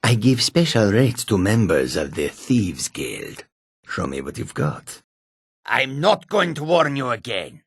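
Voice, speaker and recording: An actor playing a shrewd, proud cat-folk warrior and trader in a fantasy video game, with a husky, rasping, theatrical delivery. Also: male voice, fairly low pitch, sing-song, measured pace, dry recording, clean studio sound, full character voice. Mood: sly, bargaining, then threatening.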